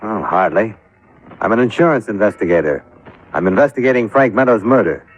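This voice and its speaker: An actor playing a wry, stubborn American insurance investigator in a clipped 1950s radio detective style. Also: male voice, on the low side, expressive, fairly quick. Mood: protesting. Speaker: lead actor and narrator. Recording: muffled, noisy old radio recording.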